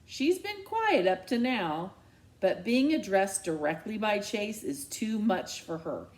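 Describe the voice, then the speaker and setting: A woman narrating a children's novel aloud in a dramatic, expressive storytelling style. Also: mid-range voice, takes it slowly.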